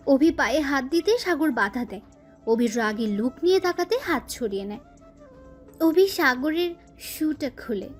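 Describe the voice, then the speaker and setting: Casting: female narrator for scripted romantic fiction, high voice, faint music bed underneath. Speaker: story narrator.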